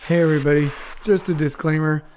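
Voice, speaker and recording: A man's voice: a little high, fairly even intonation, fast talker, music in the background. A man hosting his own podcast, talking casually with a stuffy nose from a cold, earnest and a little rambling. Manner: rambling, earnest, self-mocking. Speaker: podcast host. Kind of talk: casual solo podcast monologue.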